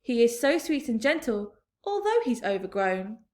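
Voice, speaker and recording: A woman's voice: a little high, sing-song, normal speed, a little mellow. A woman voicing a small, cheerful, friendly boy in a storybook reading.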